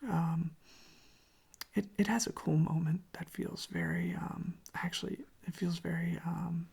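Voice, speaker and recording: An ASMR host speaking in a soft, breathy, husky whisper, rambling casually and gently in an informal, hushed way. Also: mid-range voice, very animated, measured pace.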